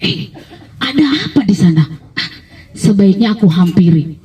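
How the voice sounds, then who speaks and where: A woman's voice: mid-range voice, expressive, moderate pace, a little mellow, distant mic, lightly acted. A woman voicing a curious young male traveller in a live children's story, asking questions politely and hesitantly. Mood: wondering.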